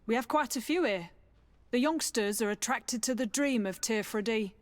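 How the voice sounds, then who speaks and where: An actress as a military training officer in a fantasy game. Her voice is on the high side and recorded very dry. She is proud and guarded, speaking with crisp formality. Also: moderate pace, no echo, natural voice. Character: guarded, proud female lieutenant training recruits. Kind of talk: video game dialogue acting.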